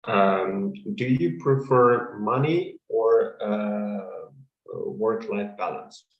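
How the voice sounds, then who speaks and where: Slow, deliberate non-native English man. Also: on the low side, reverberant, muffled recording. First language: not English. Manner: measured, many hesitant fillers. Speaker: consulting career coach. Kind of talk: webinar talk and Q&A.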